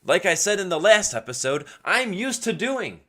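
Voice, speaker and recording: A man hosting a casual, opinionated solo podcast in an emphatic way, asking himself rhetorical questions, his voice strained and partly lost from yelling. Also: high voice, sing-song, moderate pace. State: losing his voice. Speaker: podcast host.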